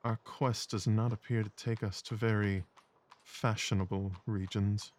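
A man playing a keen, observant Victorian gentleman detective, muttering in clipped, musing phrases in an audio drama. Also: lowish voice, little pitch movement, a little slow, natural voice. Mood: absorbed musing.

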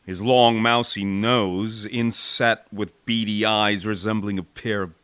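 An American man narrating a war memoir as an audiobook, with an expressive, wry, folksy storytelling delivery. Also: on the low side, a little slow, clean tone, no room sound. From United States.

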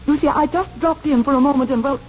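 A woman's voice: sits high, quick pace, a little mellow, close to an everyday voice. An actress playing a genteel, anxious middle-aged mother in a 1940s radio drama, polite, hesitant and emotional. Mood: distressed, tender. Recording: muffled, noisy 1940s radio recording.

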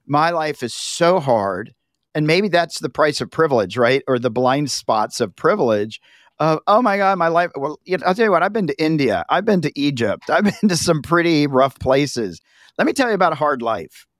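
A man's voice: a little high, big pitch swings, normal speed, no room sound, clean studio sound. A middle-aged man hosting a podcast, chatting conversationally, laughing easily and acting out quoted voices as he asks questions. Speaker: podcast host. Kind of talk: podcast interview.

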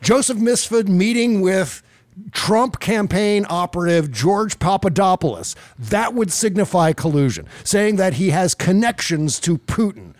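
A man's voice: sits high, animated, unhurried, no echo, studio quality. A man hosting casual political commentary in a sarcastic, exasperated and profane way. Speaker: podcast host.

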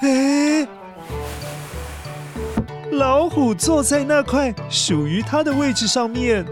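A woman's voice: high-pitched, takes it slowly. A woman narrating a children's story expressively, speaking directly to young listeners. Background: faint music.

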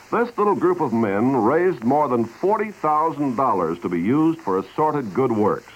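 A man reading scripted narration as a 1950s radio announcer, measured and earnest. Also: mid-range voice, slightly rough, rough recording.

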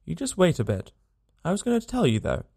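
A man voicing a gaunt, dying frontiersman, parched and weary, speaking in rough frontier vernacular and gently to a child in a storybook reading. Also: fairly high pitch, huge pitch swings, fairly quick, voice pushed up, exaggerated voice. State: parched, dry-lipped.

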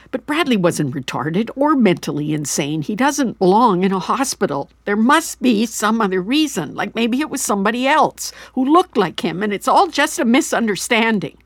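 A woman's voice: on the low side, slightly rough, no echo. A woman playing an anxious, guilt-ridden older mother in an audio drama, talkative, earnest and pushy in a rambling way. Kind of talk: naturalistic audio drama acting.

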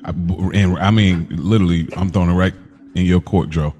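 Low male voice, rambling, over music. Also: steady tone, moderate pace, a little mellow. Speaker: podcast co-host. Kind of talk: casual chat.

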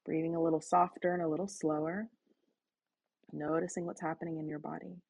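Soft-spoken woman guiding a breathing practice. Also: low voice, flat delivery, vocal fry, husky. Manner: soft, slow, inviting. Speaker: host leading a guided breathing session. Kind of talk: guided breathing and reflection. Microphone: online call.

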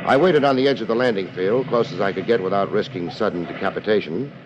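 An American actor playing a laid-back, wisecracking private investigator in a 1950s radio drama, dry and unhurried. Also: male voice, lowish voice, close to an everyday voice. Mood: moody narration. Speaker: lead actor and first-person narrator. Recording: dull, noisy old radio recording.

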